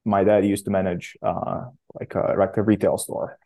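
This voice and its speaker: A man, answering interview questions in a casual, halting way with many restarts. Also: lowish voice, little pitch movement, creaky, dark tone. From Romania. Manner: halting, frequent self-restarts and fillers. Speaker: startup founder guest.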